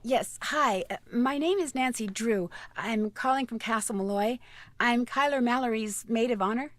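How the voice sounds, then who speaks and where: A woman's voice: a little high, normal speed, dry recording, close to an everyday voice. An actress playing a polite, inquisitive young female detective in a video game, speaking directly. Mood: polite probing.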